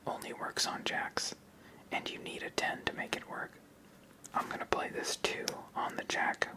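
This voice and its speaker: Whispering ASMR game host. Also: rough recording. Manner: whispering throughout. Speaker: host of a tabletop card game channel. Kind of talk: whispered game play-through commentary.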